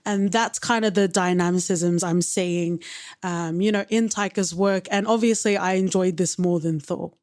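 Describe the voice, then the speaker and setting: A woman's voice: on the low side, fairly even intonation, moderate pace, darkish tone, dry recording, studio quality. A woman co-hosting a podcast, talking earnestly and openly about her feelings with some wry humor. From Australia. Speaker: podcast co-host. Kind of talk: reflective casual chat.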